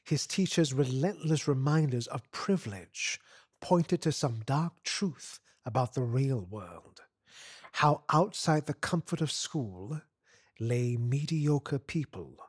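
A man reading literary fiction aloud as an expressive narrator. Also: medium pitch, slow pace, breathy edge, dry recording, studio quality. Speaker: story narrator.

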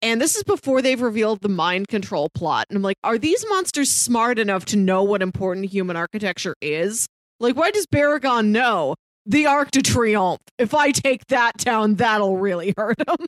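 A woman's voice: mid-range voice, animated, normal speed, dry recording. A woman chatting casually about films as a podcast co-host, playful and wry. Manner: playful, wry, often chuckling as speaking. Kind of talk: casual film discussion chat.

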